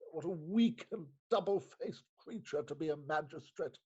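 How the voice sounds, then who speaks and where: An actor playing a flustered, guilty, respectable magistrate in a theatrical comic reading, stammering and hesitant with a husky voice. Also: male voice, a little high, expressive, moderate pace, dark tone. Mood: weary, self-reproaching. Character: flustered, guilt-ridden, respectable London magistrate, disheveled after a night out. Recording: dull lo-fi remote recording.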